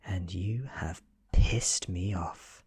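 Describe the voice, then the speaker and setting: British man playing a slow, breathy vampire woman. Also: sits low, dry recording, exaggerated voice. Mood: calm menace. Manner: slow, soft, half-whispered threats. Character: menacing ancient female vampire, velvety and calmly threatening.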